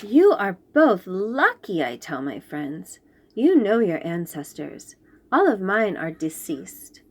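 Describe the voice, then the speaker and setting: A woman reads a picture book aloud to young children. She takes her time over every word and lets her voice rise and fall a great deal. Her manner is clear and patient, as if explaining each new word. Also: medium pitch. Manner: slow, clear and expressive, explaining fancy words.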